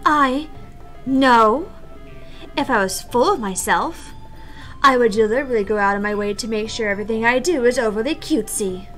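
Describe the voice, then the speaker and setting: Visual novel schoolgirl, played by a woman: prim poet, highish voice, hesitant, stammers when rattled, faint music behind. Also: normal speed, a bit bright, natural voice. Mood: angry, haughty. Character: prim, shy, easily flustered high-school girl poet. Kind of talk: visual novel voice-over read aloud.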